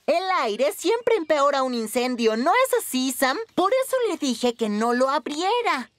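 A woman's voice: high-pitched, big pitch swings, measured pace, dry recording. A woman playing a smug, mischievous young boy in a Latin American Spanish children's cartoon dub. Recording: loud music behind.

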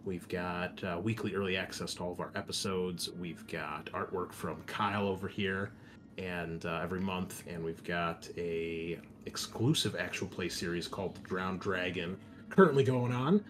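A man's voice: deep voice, moderate pace, fairly bright tone, slight background noise. A man speaking as host and game master, chatting casually and informally to listeners and players. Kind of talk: casual hosting chat for an actual-play podcast.

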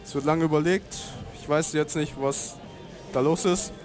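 A man's voice: a little high, fairly even intonation, normal speed, over music. A young man, commentating a card game tournament in a laid-back, slangy way.

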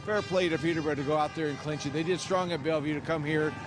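A man's voice: a little high, steady tone, fast. A man, a former rider working as a pundit, speaking plainly and generously outdoors at a stadium.

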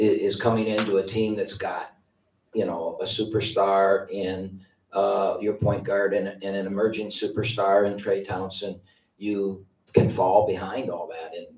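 A man's voice: sits low, darkish tone. An older man, a head basketball coach answering post-game press questions in a blunt, rambling way, often repeating words as he restarts. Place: press conference room with sponsor backdrop. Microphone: table mic, heard from a distance. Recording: echoey room, distant mic.

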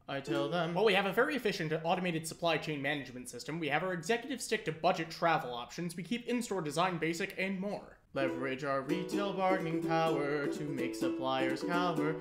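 A man's voice: on the high side, natural voice. A man playing the proud, boastful ghost of an old businessman in sing-song patter for a comic radio musical. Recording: echoey, loud guitar backing.

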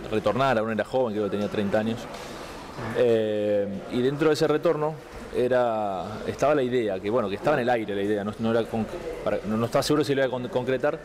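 A man's voice: mid-range voice, background noise. A man in his thirties with an Argentine accent, answering interview questions thoughtfully, with many restarts. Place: indoor room, seated on a couch. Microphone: handheld mic held out by interviewer.

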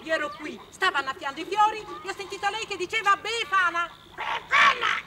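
A woman's voice: very high voice, brisk, a crowd in the background. An actress playing an indignant, quarrelsome lady neighbour in a vintage television comedy, scolding theatrically. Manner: scolding, theatrical, sharp-tongued. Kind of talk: 1960s television comedy-drama acting. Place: outdoor garden. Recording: noisy vintage outdoor television soundtrack.

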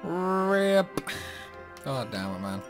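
A man's voice: on the high side, big pitch swings, very slow, over music. Chatty young man narrating gameplay. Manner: chatty, self-deprecating, exclaims at mistakes. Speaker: gameplay channel host. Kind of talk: casual game commentary.

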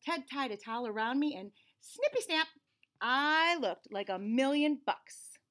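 Animated woman reading a children's storybook. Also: high-pitched, a little slow. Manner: animated, clearly dramatized for children. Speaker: children's read-aloud host. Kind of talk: expressive storybook narration. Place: small indoor room.

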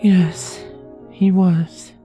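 A woman's voice: on the low side, little pitch movement, drawn-out words, warm tone, faint music behind. A woman voicing a tender, conflicted adult unicorn with an earnest, formal, hesitant manner, in a fan fiction drama. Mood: regretful.

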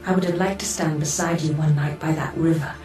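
Actress as female artificial intelligence for radio drama: measured, wistful, grave, in a roomy distant acoustic with faint music. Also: deep voice, steady tone, a little mellow, natural voice. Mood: solemn, wistful. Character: calm, wistful female artificial intelligence, first of her kind. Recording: echoey, distant voice over music.

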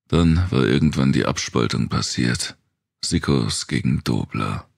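A man with an extremely low voice reads a dark thriller audiobook. His tone is gravelly, and the recording is dry and close. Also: no echo. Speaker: audiobook narrator.

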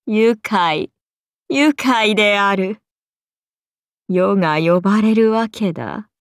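A woman's voice: mid-range voice, slow pace, dry recording, studio quality. A woman voicing a haughty, amused and predatory ancient serpent-god oni in a game, speaking in archaic, imperious, languid phrases. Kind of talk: Japanese game character voice lines.

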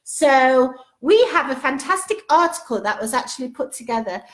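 A chatty middle-aged woman hosts a casual webcam stream from a living-room sofa. Her voice sits a little high for a woman, and she talks loosely, restarting sentences as she reads out comments. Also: animated, normal speed. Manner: chatty, warm-toned aside to her daughter, many restarts. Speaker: host. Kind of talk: casual live-stream chat reading comments. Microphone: webcam at close range.

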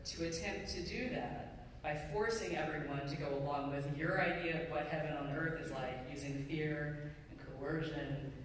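A middle-aged pastor preaching a sermon in a measured, deliberate way with pauses. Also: medium pitch. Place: church sanctuary pulpit, indoors. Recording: very echoey hall, distant mic, faint noise.

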